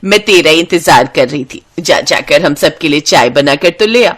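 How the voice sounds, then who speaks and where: A woman's voice: sits low, animated, breathy edge, voice pushed down. A woman voicing a bossy middle-aged aunt in a cartoon story, giving orders. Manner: slow, commanding.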